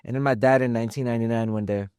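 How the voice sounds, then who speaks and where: A man in his twenties from Afghanistan, a fighter guesting in a studio, speaking calmly and reflectively with frequent pauses and fillers. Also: mid-range voice, clear, resonant tone, dry recording. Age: 20s. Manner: calm, reflective, halting with many fillers. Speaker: mixed martial arts fighter, guest. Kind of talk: casual interview talk. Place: podcast studio.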